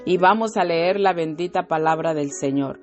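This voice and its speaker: Low-voiced woman preaching slowly over faint music. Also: a little mellow. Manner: slow, fervent, frequent hallelujahs and amens. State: slightly irritated throat. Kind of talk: devotional sermon with scripture reading.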